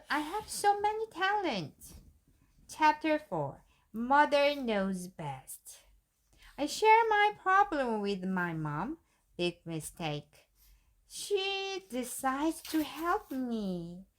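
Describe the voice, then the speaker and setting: Lively high-voiced mom reading. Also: female voice. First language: Korean. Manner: animated, playful reading with giggles. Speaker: mother reading to her child. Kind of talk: bedtime storybook read-aloud.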